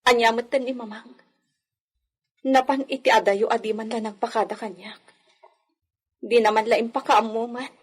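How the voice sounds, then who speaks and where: An actress playing a hurt, trusting young lady of a hacienda household in an Ilocano radio drama, her voice slightly breathy. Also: on the high side, steady tone, normal speed, pauses often. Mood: hurt, questioning.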